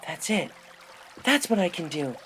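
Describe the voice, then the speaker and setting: A man's voice: a little high, big pitch swings, measured pace, a bit bright, a little hiss or hum, pitched above the performer's own voice. A man voicing a loyal young female pegasus pony in a storybook reading, earnest, eager and casual in manner. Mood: excited.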